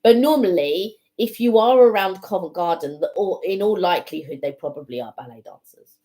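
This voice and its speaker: A woman's voice: on the low side, animated, a little fast, mellow. A woman co-hosting a live stream from home, talking casually and at length with many fillers like "you know" and "like". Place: home room with a heater.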